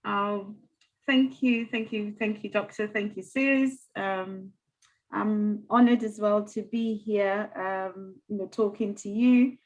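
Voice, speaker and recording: Soft-spoken woman, halting speech. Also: mid-range voice, steady tone, muffled recording. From Ghana. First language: not English. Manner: soft, halting, with many fillers and restarts. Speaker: mayor, interview guest. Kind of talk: casual interview conversation.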